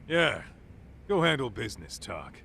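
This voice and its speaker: Gravelly, swaggering tough guy. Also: mid-range voice, very animated, unhurried, bright, forceful tone, a little hiss or hum, heavily acted. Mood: confident. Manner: swaggering, blunt. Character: brash, loyal, foul-mouthed tough-guy ex-yakuza sidekick. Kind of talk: English video game dub acting. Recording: music under the voice.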